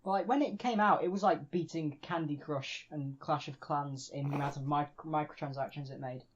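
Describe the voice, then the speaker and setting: A man's voice: fairly high pitch, normal speed, a little hiss or hum. Muffled man bantering on a podcast. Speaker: podcast co-host. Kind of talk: casual comic podcast banter.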